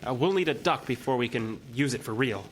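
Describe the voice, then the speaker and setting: Man as earnest scientist, medium-pitched voice. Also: lively intonation, a little fast, slight background noise, close to an everyday voice. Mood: matter-of-fact. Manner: hesitant, matter-of-fact. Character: earnest young male scientist, mild and hesitant. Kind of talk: audio drama acting.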